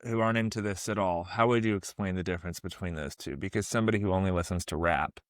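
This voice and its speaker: Low-voiced podcast host. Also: male voice, quick pace, dry recording, clean studio sound. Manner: curious, asks questions, joking asides. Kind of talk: casual podcast conversation.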